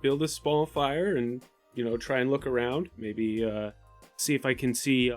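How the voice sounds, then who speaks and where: A man's voice: mid-range voice, fairly even intonation, unhurried, faint music behind. Hesitant male tabletop game player. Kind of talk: casual tabletop game chat.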